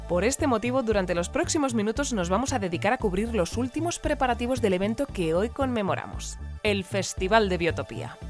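A woman playing a composed news anchor in a sci-fi audio drama, reading a scripted bulletin in a formal, measured way. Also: medium pitch, natural voice. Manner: formal, measured announcer delivery. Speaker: newscast presenter. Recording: music bed under the voice. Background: music.

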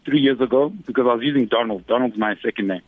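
A man's voice: mid-range voice, quick pace, no room sound, phone-quality sound. Radio guest, man, 30s, from Zimbabwe, easygoing and rambling, dry studio sound. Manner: relaxed, many fillers and restarts. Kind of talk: casual interview.

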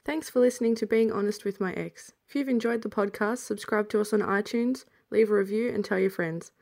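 A woman's voice: mid-range voice, a little fast, vocal fry, a little mellow. A woman with an Australian accent, a listener reading a short outro message.

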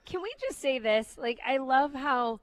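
A woman's voice: high-pitched, lively intonation, a little slow, no echo. A woman hosting a radio show, chatting casually in a playful, teasing way. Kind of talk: casual bantering radio chat.

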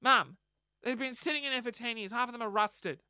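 A man with an Australian accent, hoarse from losing his voice, telling a rambling, sweary, self-mocking story on his solo podcast. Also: very high voice, a little fast, pushed and bright, dry recording, clean studio sound. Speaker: podcast host.

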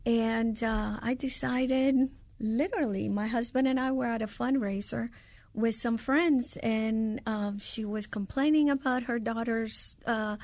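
A woman's voice: a little high, fairly even intonation, measured pace, a little mellow, dry recording. An older woman from Cuba with a Cuban Spanish accent, answering radio interview questions with many hesitations. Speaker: guest, bridal shop owner.

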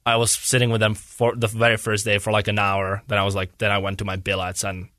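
A man's voice: fairly low pitch, monotone, quick pace, sharp tone, dry recording, clean studio sound. A young man from Czechia speaking English as an in a casual interview, searching for words and rambling earnestly. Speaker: guest, hockey player.

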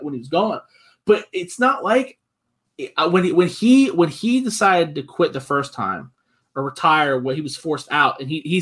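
Excitable guy on a sports podcast, kinda high husky voice, swears a lot and keeps repeating himself. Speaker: podcast host. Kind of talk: casual sports chat.

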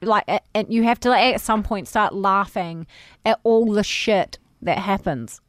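A woman's voice: mid-range voice, unhurried, no echo, studio quality. A woman, co-hosting a casual podcast chat, rambling and swearing freely while recovering from pneumonia. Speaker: co-host.

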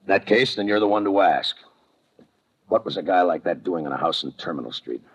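An actor playing a hard-boiled, sardonic police lieutenant in a 1950s radio crime drama, with a clipped, tough delivery, on a muffled old recording. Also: male voice, low-pitched, lively intonation, a little fast, breathy edge. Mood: needling, tough.

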